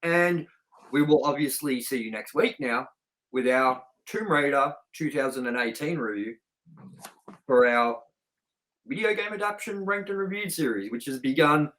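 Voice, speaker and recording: A man's voice: medium pitch, normal speed, a bit bright, low-quality recording. A man co-hosting a movie review show in casual, unscripted chat, talking informally and straight to the audience. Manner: informal, chatty, addresses viewers directly.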